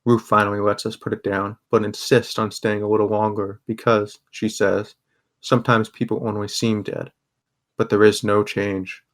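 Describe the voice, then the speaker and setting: A man narrating a first-person audio drama in a measured, understated way. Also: on the low side, flat delivery.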